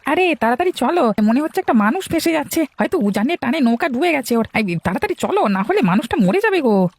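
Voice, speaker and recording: A man voicing an excitable, kindly fisherman in a cartoon. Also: very high voice, fast, dry recording, heavily acted. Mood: urgent alarm. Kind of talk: cartoon dubbing.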